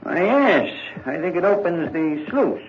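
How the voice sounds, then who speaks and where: An actor playing an easygoing rural village constable in a 1940s radio drama, folksy and unhurried. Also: male voice, on the high side, big pitch swings. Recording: dull old radio recording, faint hiss.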